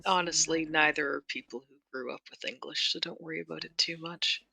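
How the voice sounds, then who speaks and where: A woman's voice: sits low, steady tone, fairly quick, vocal fry, bright, forceful tone, breathy edge, rough recording. A woman playing a tabletop game, chatting in character with a dry, laughing manner. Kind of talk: in-character roleplay chat.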